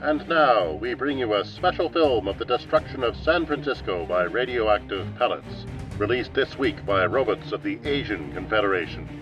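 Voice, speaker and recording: An actor playing a grave, indignant propaganda newscaster, declaiming pompously in an old-time radio drama. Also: male voice, fairly low pitch, expressive, moderate pace, hardly any pauses, muffled recording, natural voice. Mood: grave, urgent. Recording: distant mic under loud music. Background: music.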